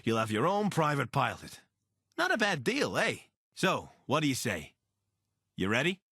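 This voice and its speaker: An actor playing an anxious, wry deserter soldier and pilot in a video game, speaking in a conversational, earnest way. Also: male voice, mid-range voice, wildly swooping pitch, moderate pace, pushed and bright, slightly rough, no echo, clean studio sound, exaggerated voice. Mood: persuasive. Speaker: voice actor for a companion character. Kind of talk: video game character dialogue.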